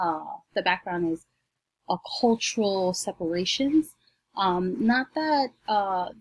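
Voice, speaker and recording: A woman, giving a class presentation at home with many ums and pauses; she is shy on camera. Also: on the low side, a little mellow, slightly rough, no echo, poor audio. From New York, United States. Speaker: student presenter.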